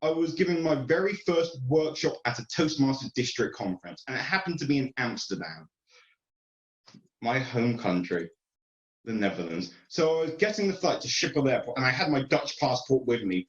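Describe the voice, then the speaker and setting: A man in his twenties with an English accent telling a comic personal story in a theatrical, dramatic way with deliberate pauses. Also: medium pitch, lively intonation. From England. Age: 20s. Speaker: amateur storyteller at an online speaking event. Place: indoor room, online video event. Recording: roomy, slightly muffled online call.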